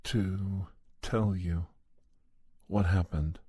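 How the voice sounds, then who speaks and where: Casting: male actor as calm doctor narrating a horror audio story, very slow and deliberate, husky, close dry sound. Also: low-pitched, no echo, exaggerated voice. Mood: measured, reflective. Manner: measured, halting, deliberate. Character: calm doctor recounting a monster hunt.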